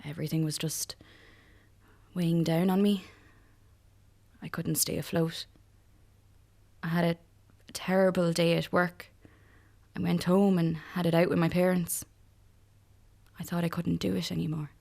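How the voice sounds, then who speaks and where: Fragile young Irish woman on the mend, radio drama. Lower register, halting, understated. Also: little pitch movement, gravelly, darkish tone. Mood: subdued, vulnerable. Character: fragile, hopeful young woman recovering from a crisis. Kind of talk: naturalistic radio drama acting.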